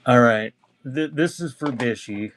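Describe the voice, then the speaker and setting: A man's voice: medium pitch, unhurried. A man chatting casually as a live-stream auction seller, rambling and teasing as he reads out items for sale. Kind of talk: casual live selling chat, reading out cassette titles. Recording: clearly noisy background with clicks.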